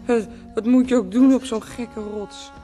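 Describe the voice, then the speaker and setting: Slow, languid, tipsy woman, played by an actress. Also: on the high side, fairly even intonation, natural voice. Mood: teasing, languid. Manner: halting, repeating words, languid, taunting. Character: tipsy, teasing, dreamy wife dancing late at night. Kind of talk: Dutch radio play acting. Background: music playing.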